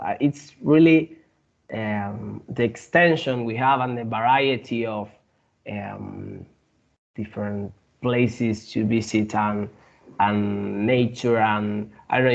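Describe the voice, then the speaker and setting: A man from Argentina with an Argentine Spanish accent, a guest in a casual interview who speaks haltingly, with many fillers and restarts. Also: medium pitch, vocal fry, muffled recording.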